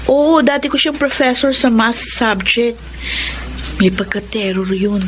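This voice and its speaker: A woman's voice: fairly high pitch, measured pace, a little hiss or hum, natural voice. A woman with a Filipino accent playing a frank, teasing young student friend in a radio romance drama.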